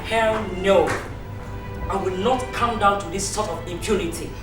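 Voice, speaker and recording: A woman's voice: mid-range voice, moderate pace, echoey room, distant mic, over music, close to an everyday voice. An actress playing an indignant woman who scolds the mourner.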